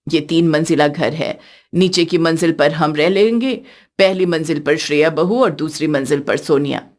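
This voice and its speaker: A woman's voice: deep voice, moderate pace, a little mellow, pitched below the performer's own voice, natural voice. A woman voicing a stern, weary older mother-in-law in a cartoon story, firm and admonishing. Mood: commanding. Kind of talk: Hindi cartoon story acting.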